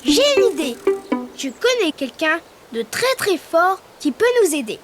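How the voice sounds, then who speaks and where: A woman voicing a cheerful young male cartoon bird who patiently guides children through drawing, over loud music. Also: high-pitched, very animated, a little slow. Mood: upbeat. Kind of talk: preschool cartoon voice acting. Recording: loud background music track.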